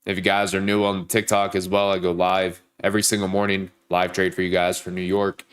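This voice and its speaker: A man's voice: sits low, flat delivery, a little fast, smooth. A young man with a sore throat and a stuffed-up, nasal voice, chatting casually with viewers on a trading livestream. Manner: greets viewers by name, hypes likes. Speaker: livestream trader. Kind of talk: casual live-stream chat.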